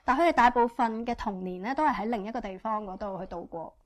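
A woman interprets a church testimony phrase by phrase and keeps up with the speaker. She talks fast and fluently, with frequent fillers. Her voice is a little higher than most women's. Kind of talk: consecutive interpretation.

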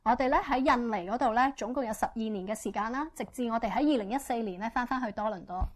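Woman, church interpreter, rapid delivery, higher voice, fluent, frequent fillers. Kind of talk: consecutive interpretation.

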